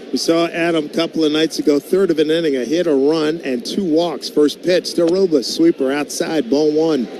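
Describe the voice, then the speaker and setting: A man doing live baseball play-by-play on radio, speaking in clipped phrases that can rise into excited calls. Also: a little high. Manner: clipped phrases, calls rising to excited shouts on big plays. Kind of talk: live sports play-by-play commentary. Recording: noisy live ballpark broadcast.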